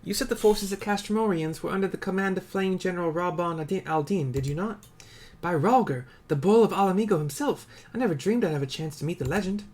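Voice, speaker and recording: A man's voice: high voice, fast, few pauses, a little mellow, voice pushed up. A man voicing a battle-hardened woman fighter from a game, stern and resolute, with a firm delivery and a shifting put-on accent. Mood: earnest. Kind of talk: voiced game dialogue read aloud.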